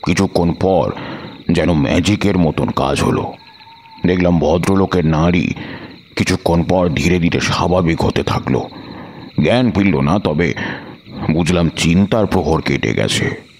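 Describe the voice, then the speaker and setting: A man narrating a suspense story in a measured, literary and dramatic manner. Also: sits low, darkish tone, husky. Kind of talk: dramatic scripted first-person story narration. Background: background music.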